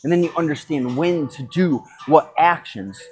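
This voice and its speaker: A man hosting a podcast solo, talking reflectively and unscripted, thinking aloud in a halting way and correcting himself. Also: a little high, a little mellow. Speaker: podcast host.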